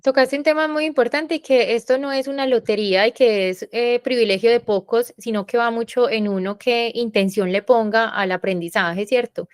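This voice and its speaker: A woman's voice: on the high side, normal speed, few pauses, clear, resonant tone, no room sound, studio quality. A woman, a university professor moderating a panel, talking in a reflective, explanatory way with many fillers. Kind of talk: panel discussion.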